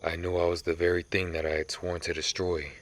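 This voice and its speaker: A man voicing a brooding, centuries-old vampire who hunts his own kind, telling his tale gravely in a measured, dramatic ASMR roleplay. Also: deep voice, completely flat delivery, close to an everyday voice. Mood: haunted, bitter.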